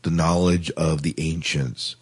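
A man's voice: a bass voice, flat delivery, unhurried, slightly rough. A man hosting a casual podcast chat about television, informal and enthusiastic in the way he talks. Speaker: podcast host.

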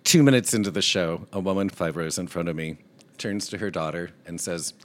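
A soft-voiced man co-hosting a talk show, telling an animated anecdote and mimicking the people in it. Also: low voice, brisk. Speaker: podcast co-host. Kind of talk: casual storytelling chat.

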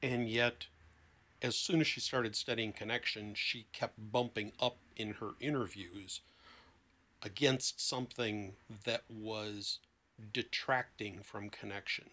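A man's voice: lowish voice, steady tone, slow pace, pushed and bright. A man speaking as a therapist guest in an interview, explaining in a conversational way with examples. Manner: explanatory, illustrating with anecdotes and quoted dialogue.

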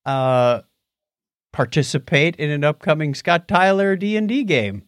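Middle-aged man, podcast co-host, casual banter, very lively intonation, dry studio sound. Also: fairly high pitch, a little slow, smooth, no room sound.

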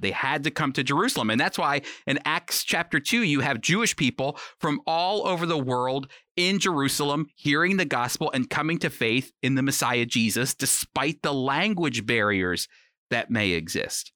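A man's voice: medium pitch, expressive, normal speed, bright, forceful tone, dry recording, clean studio sound. A man giving a conversational Bible teaching for a program, speaking emphatically and directly to the listener with rhetorical questions. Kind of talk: conversational teaching sermon, prepared radio talk.

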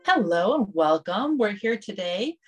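A woman's voice: mid-range voice, big pitch swings, unhurried, music in the background. A woman co-hosting a podcast, welcoming a guest and asking her friendly guiding questions. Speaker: podcast co-host, interviewer. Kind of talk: casual interview.

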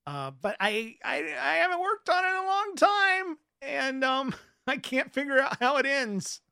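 A man speaking as an author in a casual interview, giving earnest answers in a thoughtful, halting way. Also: very high-pitched, very animated, vocal fry, pushed and bright, no room sound, clean studio sound.

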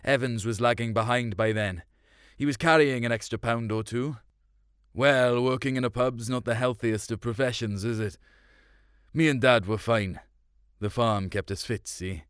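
A man's voice: medium pitch, moderate pace, a bit bright, clean tone, no echo. A man reads a first-person story. His delivery is colloquial and confiding, like someone recounting events. The sound is dry, with very little room around the voice. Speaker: short story reader.

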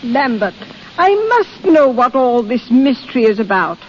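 A woman's voice: on the high side, big pitch swings, a little slow. An actress playing an anxious, insistent aristocratic wife and mother in 1930s radio drama style. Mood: anxious, suspicious. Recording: muffled, hissy 1930s radio recording.

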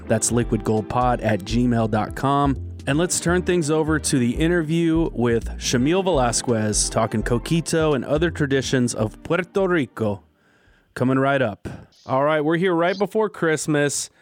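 Man, podcast host, upbeat, chatty, mid-pitched, faint music behind. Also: normal speed. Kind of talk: casual podcast intro and interview.

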